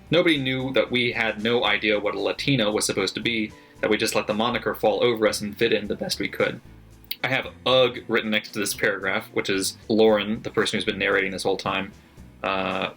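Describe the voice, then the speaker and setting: A man's voice: on the low side, bright, forceful tone. A man casually reading out his margin notes on a book, chatty and sardonic, with lots of fillers and swearing. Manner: loose and chatty, many fillers, frequent swearing; sardonic and critical, laughs at own notes. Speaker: book vlogger. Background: faint background music.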